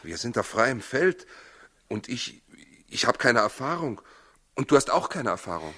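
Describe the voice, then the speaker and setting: An actor playing a practical, worried husband in a 1960s German radio play, plain-spoken and gruffly reassuring, with a husky voice. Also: male voice, mid-range voice, big pitch swings, measured pace, a bit bright. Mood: anxious.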